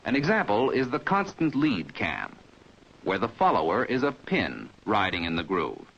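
A man's voice: fairly low pitch, huge pitch swings, a bit bright, a little hiss or hum. Dull vintage recording of American male narrator. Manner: measured, precise, didactic. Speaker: training film narrator. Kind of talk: 1950s instructional film narration, scripted. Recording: dull 1950s film soundtrack.

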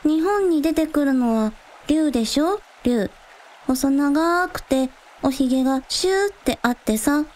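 Woman playing breezy high-voiced young village idol. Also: lively intonation, a little slow, a little mellow. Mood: curious, casual. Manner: breezy, slangy, matter-of-fact. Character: casual, blunt young village idol girl. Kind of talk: Japanese radio drama acting.

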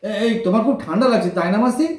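A man's voice: high-pitched, animated, very fast, darkish tone, recorded from a distance. An actor playing a cheeky, kind-hearted young garage boy with colloquial West Bengal speech, in teasing, slangy audio drama banter. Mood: caring, boastful. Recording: echoey room, off the microphone.

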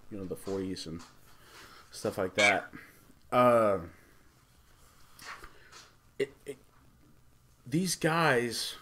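A middle-aged man hosting a casual, off-the-cuff review, speaking haltingly and stumbling over his words. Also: fairly low pitch, fairly bright tone. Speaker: host of a movie review show.

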